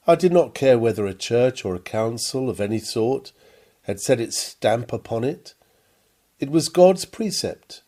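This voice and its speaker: A man reading an old sermon aloud from a script, with earnest, measured and formal oratory. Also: medium pitch, warm tone. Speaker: reader of a historical sermon.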